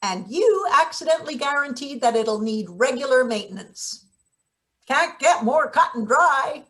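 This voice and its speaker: A woman playing a sardonic, deadpan maintenance boss in a table read of a satirical play. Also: high voice, a little slow. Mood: dry, blunt. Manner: deadpan, blunt. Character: gruff, sardonic female maintenance worker boss.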